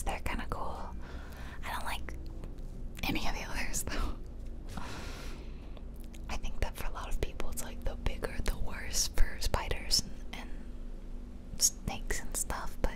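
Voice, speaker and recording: This is a woman who speaks entirely in a soft, breath-only whisper. She takes her time, letting phrases drift out slowly as she hosts a gameplay video in ASMR style. The mic is very close, and she chats to the viewer and mutters to herself as she goes. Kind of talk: whispered ASMR game commentary. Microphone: close stereo mic, ear-to-ear whispering.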